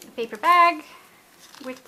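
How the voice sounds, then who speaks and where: Slow chatty lady showing off her crafts, a bit high, mumbles to herself. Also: pushed and bright, slight background noise. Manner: chatty, talks to herself, gushing and repetitive. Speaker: craft video maker. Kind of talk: casual craft flip-through. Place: indoors at a table.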